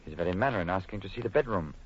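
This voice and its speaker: An actor playing an ardent, protective lover in old-time radio horror, earnest and melodramatic, anxious and pleading. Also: male voice, low-pitched, expressive, very fast. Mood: earnest, reassuring. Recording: noisy, dull old-time radio broadcast.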